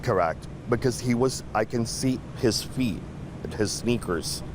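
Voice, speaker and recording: A man who survived an attack recounting it in a shaken, halting way during an interview. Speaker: eyewitness survivor. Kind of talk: emotional interview testimony. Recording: outdoor street noise with traffic rumble.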